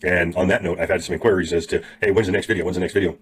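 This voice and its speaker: A hoarse man with a cold talks quickly and casually, walking his viewers through an online tutorial. His low, husky voice keeps catching, and he rambles, clears his throat and fills pauses as he goes. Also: steady tone. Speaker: YouTube affiliate marketing teacher. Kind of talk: casual tutorial talk to camera.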